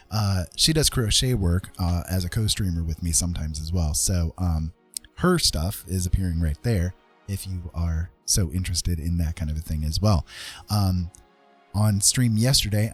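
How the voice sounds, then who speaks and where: A man chatting casually as he hosts an art livestream, rambling along in a self-deprecating, folksy way with a husky voice. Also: low-pitched, darkish tone. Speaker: livestream host and digital artist. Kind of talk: casual livestream chat while drawing.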